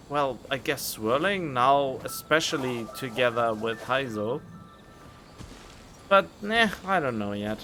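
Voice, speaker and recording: A man's voice: on the high side, pushed and bright, slight background noise. A man giving casual gameplay commentary as a streamer, musing aloud informally into a studio microphone. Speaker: gaming streamer. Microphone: studio condenser microphone. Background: game music.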